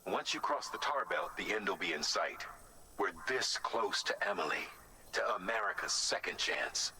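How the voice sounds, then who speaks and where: A man's voice: sits low, expressive, moderate pace, bright, forceful tone, slight background noise, exaggerated voice, rough recording. An actor playing a male mission handler in a game, giving earnest directions over the radio. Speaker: game character.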